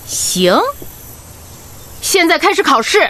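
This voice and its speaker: High-pitched, commanding head nurse. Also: female voice, very animated, bright, forceful tone, heavily acted. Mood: stern, testing. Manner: brisk, commanding, nagging. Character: strict, caring head nurse drilling her team. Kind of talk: Chinese radio drama acting.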